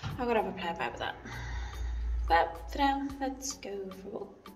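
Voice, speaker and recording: A woman's voice: medium pitch, big pitch swings, moderate pace, faint music behind. A woman, chatting casually through a walking vlog, rambling with lots of "so" and "um", filmed on a handheld phone. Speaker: vlogger. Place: outdoors on town streets and indoors at home. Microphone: phone held at arm's length.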